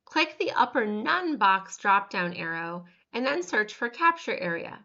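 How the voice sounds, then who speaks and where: A woman narrates a software tutorial in a bright, crisp-edged voice at a middle pitch. She delivers scripted instructions step by step with measured, careful enunciation. The recording is slightly dull.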